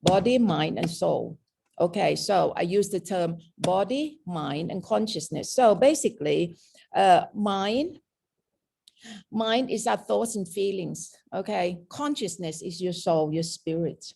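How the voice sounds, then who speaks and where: A woman's voice: fairly low pitch, lively intonation, slow, gravelly, warm tone, breathy edge. A woman with a mixed Thai and British accent, teaching a lecture on meditation and often checking in with "okay?". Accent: Thai and British mix. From Thailand. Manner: explaining with frequent "okay?" checks.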